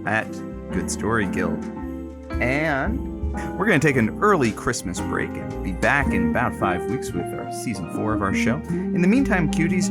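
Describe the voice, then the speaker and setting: An actor playing a wry, blunt radio sidekick who announces in a showy way in a scripted audio drama. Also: male voice, medium pitch. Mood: upbeat announcing.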